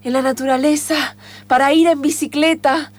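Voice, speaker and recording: Woman playing an excitable, very high-voiced Argentine traveler. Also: normal speed, lightly acted. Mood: confiding. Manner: breathless, exclamatory, melodramatic. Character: excitable, naive young city woman cycling through the wilderness. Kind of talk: improvised radio theatre acting.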